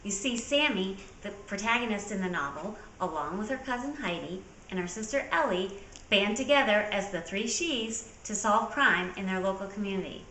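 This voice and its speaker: A woman's voice: mid-range voice, expressive, normal speed, a bit bright. A woman speaking casually to viewers as an author presenting a trivia question. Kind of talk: casual talk to camera. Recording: echoey room with faint background noise.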